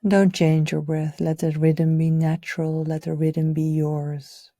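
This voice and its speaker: A woman leading a guided meditation in a gentle, soothing, unhurried way, her soft voice often trailing off toward a whisper. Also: low voice, flat delivery, dry recording, studio quality. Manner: soothing, gentle, unhurried, with audible sighs and breathy pauses. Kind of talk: guided meditation, live session.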